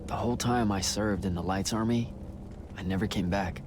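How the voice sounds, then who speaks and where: An actor playing a sceptical, irreverent young soldier mage in casual, challenging video game dialogue. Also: male voice, low-pitched, normal speed, music in the background, close to an everyday voice. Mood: subdued, curious.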